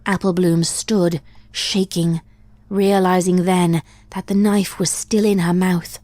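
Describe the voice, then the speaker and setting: A woman reading a dark fanfic aloud in a measured, deliberate storytelling manner. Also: lowish voice, flat delivery, no room sound. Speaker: fanfic reader. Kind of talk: dramatic story narration.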